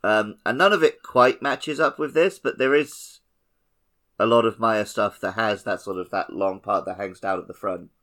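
A man's voice: fairly low pitch, normal speed, fairly bright tone. A man co-hosting a podcast, chatting casually about a video game. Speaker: podcast co-host.

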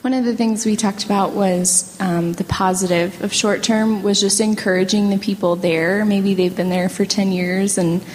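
A woman's voice: mid-range voice, steady tone, normal speed, few pauses, a little mellow. A woman casually explaining an idea to a group as a discussion participant. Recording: echoey hall, faint noise.

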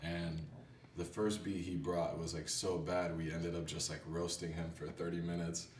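A man chatting casually as a music producer guest, telling stories in a loose, halting way. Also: deep voice, level tone, darkish tone. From born in Haiti. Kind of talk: casual chat. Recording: roomy, distant mic with faint background noise.

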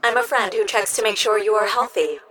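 A woman's voice: medium pitch, a little fast, a bit bright, natural voice. Woman acting as a polite, precise caring robot. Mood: earnest, reassuring. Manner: precise, polite, formal wording. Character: earnest, curious, caring health-monitoring robot. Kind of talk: audio drama acting.

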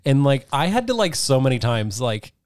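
A man co-hosting a casual movie podcast, animated and laughing as he airs strong opinions. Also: mid-range voice, moderate pace, no echo, studio quality. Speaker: podcast co-host.

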